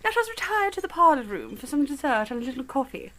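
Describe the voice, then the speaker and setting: A woman's voice: high voice, big pitch swings, fast, pushed and bright, exaggerated voice. A woman playing a genteel, commanding mother and hostess in a period audio drama, grand and bustling. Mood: brisk, hospitable.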